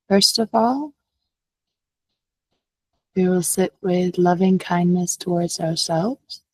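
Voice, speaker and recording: A woman leading a guided meditation as a teacher, speaking gently and unhurriedly with long pauses. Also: lowish voice, breathy edge, no echo, poor audio.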